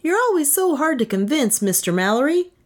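Woman voicing an eccentric high-pitched boastful male inventor. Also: sing-song, moderate pace. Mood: aggrieved. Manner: grandiloquent, mangles long words.

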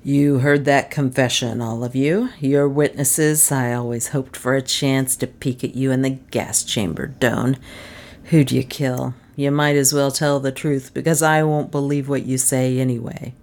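Woman doing a low, slow, bullying detective man. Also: steady tone, a little mellow. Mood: gloating. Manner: gloating, badgering. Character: gruff, bullying, sarcastic male police detective.

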